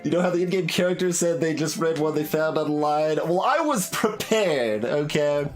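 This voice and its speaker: Expressive male streamer with lively pitch. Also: normal speed, faint music behind. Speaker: game streamer. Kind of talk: live playthrough commentary, reading game text aloud.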